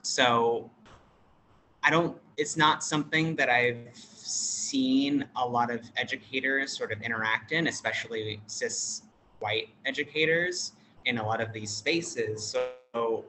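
A non-binary American teacher at an international school, speaking in a relaxed interview over a video call. They talk thoughtfully and hesitantly, with many fillers and restarts. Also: mid-range voice, measured pace, a little hiss or hum, poor audio. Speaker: workshop facilitator.

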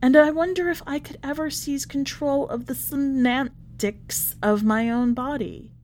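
A woman voicing a polite, chatty, quietly unhinged prisoner writing a letter, in a prim manner, as a dramatic story reading. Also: sits high, steady tone, a little slow. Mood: wistful, musing. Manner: prim, ornate, politely understated phrasing.

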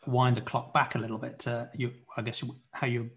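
A man's voice: medium pitch, little pitch movement, a little fast, fairly bright tone. A man hosting an interview, framing thoughtful questions for his guest. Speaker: host.

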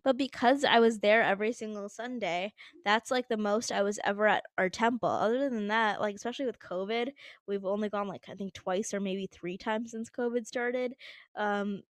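A young woman from the United States, a bit hoarse from allergies, chatting casually on her own podcast with lots of "like" and little laughs. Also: medium pitch, normal speed, no room sound, clean studio sound. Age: young adult. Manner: chatty, heavy use of "like", frequent little laughs. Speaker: podcast host. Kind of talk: casual solo chat.

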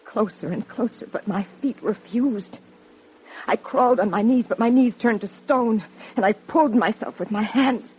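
An actress playing Eve, the first woman, in an old radio drama, speaking in a hushed, urgent way. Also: a little high, moderate pace, husky. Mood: tempting. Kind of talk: 1950s radio drama acting. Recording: dull old radio broadcast.

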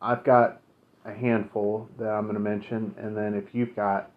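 A low-pitched man chats easily as a podcast host, pausing often with ums and uhs. His voice comes through a narrow, phone-like line. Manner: relaxed, many fillers, measured. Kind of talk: casual chat, recommending shows.

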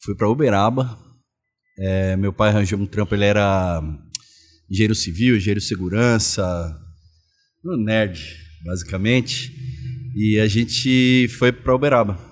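A man's voice: fairly low pitch, unhurried. A Brazilian man in his forties chatting casually as an interview guest, telling his stories in informal, slangy speech. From Salvador, Bahia, Brazil; moved to São Paulo state as a child. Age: 40s. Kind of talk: casual chat.

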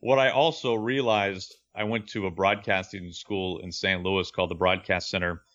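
A young man with a deep voice, an earnest, reflective baseball broadcaster telling his story as an interview guest. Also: steady tone, normal speed, a bit bright, highs cut off.

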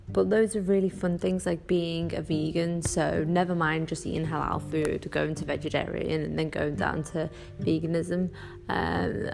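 A woman with a Scouse accent hosting a casual solo podcast, rambling and chatty with many ums and quick self-corrections. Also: fairly low pitch, gravelly. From Northwest England. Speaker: podcast host.

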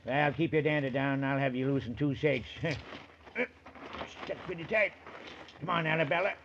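An actor playing a lonely, unschooled mountain hermit in a 1940s radio drama, with an American accent and folksy, ungrammatical speech. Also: male voice, fairly high pitch, unhurried, fairly bright tone. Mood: urgent. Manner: folksy rustic speech with poor grammar. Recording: muffled, hissy 1940s radio recording.

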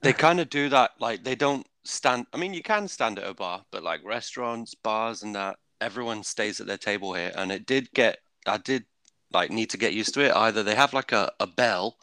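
A young man with a low voice and a bright, forceful edge, telling laid-back stories. He swears casually between anecdotes. The sound is dry and close. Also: normal speed, no room sound. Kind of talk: casual chat.

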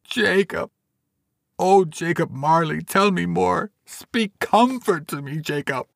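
A man voicing a fearful, stubborn old miser, strained and pleading with a pitched-up voice. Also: slow pace, vocal fry, no echo, clean studio sound, exaggerated voice.

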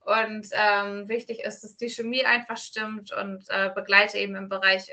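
A woman's voice: mid-range voice, little pitch movement, moderate pace, bright, forceful tone. A woman from northern Germany answering interview questions as a guest in a casual, chatty, loosely strung way. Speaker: podcast guest, self-employed virtual assistant.

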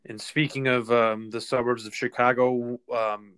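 A man hosting an interview, asking measured questions with pauses and ums. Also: mid-range voice, flat delivery, a bit bright, clean tone. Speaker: interview host and city council member.

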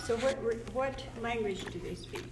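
A woman's voice: a little high, moderate pace, over loud music. A woman in the audience, chatty and curious, asking the speaker questions in casual conversation.

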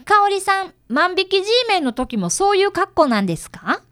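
A polite young boy detective, acted by a woman, high and very animated, recorded close and dry. He explains things earnestly in a youthful radio-drama manner. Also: moderate pace, dry recording, full character voice. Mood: hesitant. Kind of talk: radio drama acting with first-person narration.

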